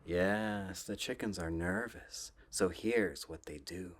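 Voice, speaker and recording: A soft-spoken man reading children's books aloud in a gentle, playful ASMR style, often dropping close to a whisper. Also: deep voice. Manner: gentle, drawn-out, playful storybook delivery.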